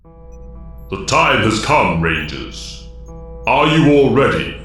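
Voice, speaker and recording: A man playing a solemn, wise mentor who commands young heroes in a superhero audio drama, speaking formally and gravely. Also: lowish voice, very animated, slow, fairly bright tone, full character voice. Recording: very echoey voice over clear music.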